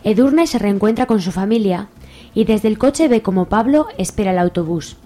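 A Spanish woman narrates a radio serial from a script. Her voice is mid-pitched, with faint music playing behind it. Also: expressive, normal speed, mellow.

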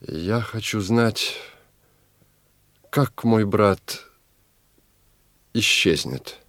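An actor playing a freed captive prince in a radio play, resolute and calculating, speaking formally and deliberately. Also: male voice, lowish voice, a bit bright, no echo, studio quality. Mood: calculating, regal. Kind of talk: Russian radio play acting.

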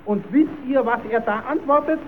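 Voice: high voice, normal speed, lightly acted. A performer playing a cheeky puppet clown who stammers as he spins tall tales for children in a German radio play. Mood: explanatory. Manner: stammering, repeating himself, improvising tall tales. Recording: tinny, narrowband, hissy old radio recording.

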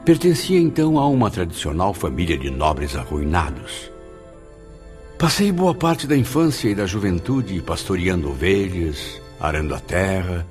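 A man's voice: deep voice, a little mellow, full character voice. An actor playing a male spirit who solemnly recalls his past life in a radio drama. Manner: solemn, theatrical first-person storytelling. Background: music.

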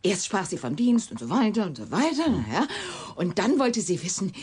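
A woman acting an intimate young lover in a German radio drama, with a breathy, husky voice and a hushed, hesitant, confiding manner. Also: medium pitch, sing-song, moderate pace, slight background noise, close to an everyday voice. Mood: gossipy, amused.

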